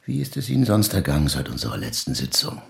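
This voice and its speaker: A man playing a calm, patient psychoanalyst in a naturalistic radio drama, measured and probing. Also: extremely low pitch, gravelly, heavily acted.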